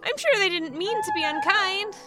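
Lady doing a fussy high voiced alien guy, gushy n overdramatic sympathy, storybook sci-fi, music under it. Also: big pitch swings, moderate pace. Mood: anxious pity. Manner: effusive, solicitous, overdramatic. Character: fussy, anxious, pitying alien friend, male.

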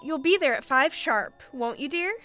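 A woman's voice: animated, moderate pace, sharp tone. A woman voicing a gushing, sentimental first lady who coos over the guest. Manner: high-pitched, cooing and effusive. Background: background music.

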